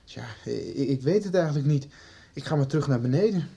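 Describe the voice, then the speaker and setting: A man's voice: mid-range voice, lively intonation, brisk, vocal fry, dark tone, breathy edge. A young man acting an earnest youth searching for a missing friend in a radio soap. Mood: polite, flustered.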